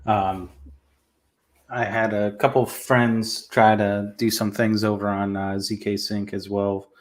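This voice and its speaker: Flat-voiced male co-host. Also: lowish voice, slow pace. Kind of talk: casual online chat.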